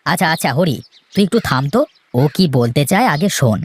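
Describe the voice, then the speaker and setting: A woman voicing a sensible, hardworking eldest brother in a children's cartoon, firm and gently scolding. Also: sits low, quick pace, slight background noise. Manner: steady, scolding, big-brotherly.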